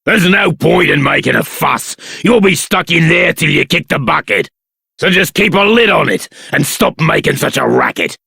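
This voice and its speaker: A man playing a harsh, bad-tempered guard in a video game, commanding, with a raspy, gravelly voice. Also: fairly high pitch, lively intonation, moderate pace, dry recording, clean studio sound, heavily acted. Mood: angry. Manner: forceful, commanding.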